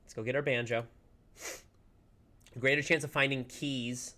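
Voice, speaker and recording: A man streaming a game in casual, rambling chat, slightly hoarse as he recovers from a lost voice. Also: medium pitch, fairly even intonation, measured pace, pushed and bright. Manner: chatty, upbeat, rambling with many fillers. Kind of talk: casual livestream chat while playing.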